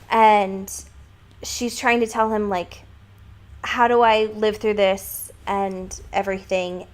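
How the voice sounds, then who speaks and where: A woman co-hosting a podcast, discussing a book casually and analytically with emphatic, lightly profane phrasing. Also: mid-range voice. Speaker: podcast co-host.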